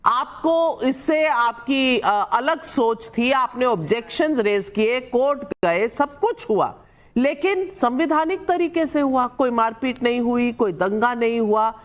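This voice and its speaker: Hoarse woman anchoring a heated debate. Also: a little high, lively intonation, measured pace. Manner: cuts in, presses panelists with pointed questions. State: sore throat, weak voice.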